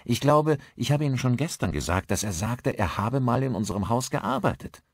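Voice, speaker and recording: An inquisitive man new to the village played by a male narrator speaks politely and questioningly. His voice sits a bit above a low register, with lively intonation, in audiobook style. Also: brisk, breathy edge, dry recording, studio quality. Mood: insistent.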